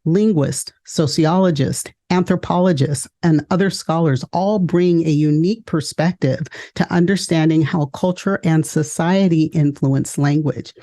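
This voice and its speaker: A woman from California hosting an education webinar, speaking in a clear, measured, list-like way. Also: low voice, mellow. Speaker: education consultant and podcast host. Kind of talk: scripted webinar presentation.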